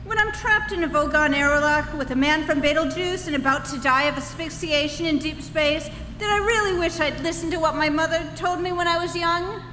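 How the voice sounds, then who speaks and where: A woman's voice: sits high, brisk, hardly any pauses, pushed and bright, faint music behind. A woman playing an anxious, exasperated and hapless Earthman in a live staged comedy play, with a plaintive delivery. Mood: plaintive resignation. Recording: echoey reverberant hall.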